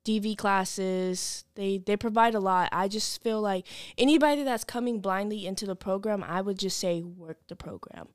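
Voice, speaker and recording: A woman's voice: medium pitch, normal speed, hardly any pauses, clear, resonant tone, no echo, clean studio sound. A woman in her early twenties talking candidly and informally as an interview guest. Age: early 20s. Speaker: podcast guest, program client. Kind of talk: candid personal conversation.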